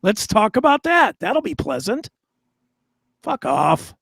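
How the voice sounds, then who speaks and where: Crude argumentative guy on a talk show, gravelly high voice, swings all over. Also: very animated, takes it slowly, fairly bright tone, no echo, studio quality. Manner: combative, crude, profane, defiant.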